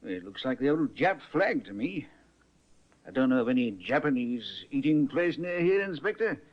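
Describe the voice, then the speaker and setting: Plain-spoken police sergeant, played by a man, matter-of-fact, middle pitch, muffled old radio sound. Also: big pitch swings, normal speed, close to an everyday voice.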